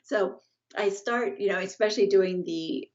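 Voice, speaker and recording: A middle-aged woman talking as an interview guest over a video call, halting and full of restarts. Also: medium pitch, darkish tone. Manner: halting, many restarts and fillers. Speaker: guest, family estate representative. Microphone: video call, earbuds. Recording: dull lo-fi video call audio.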